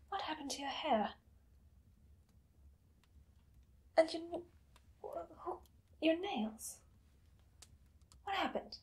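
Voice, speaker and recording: Woman acting a slow, whispery vampire mistress. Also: high voice, darkish tone, voice pushed up. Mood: dismayed. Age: young adult. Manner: slow, breathy, theatrical, often whispering. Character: possessive, doting vampire mistress, coaxing and menacing. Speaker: ASMR performer. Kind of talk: ASMR roleplay, soft speech and whispering. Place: small dim indoor room.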